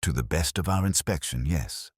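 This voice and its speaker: A man's voice: very deep voice, animated, moderate pace, husky, no room sound, studio quality, full character voice. A man voicing a guarded, courteous senior records official in an audiobook, choosing formal words with hesitant care. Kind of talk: audiobook character reading.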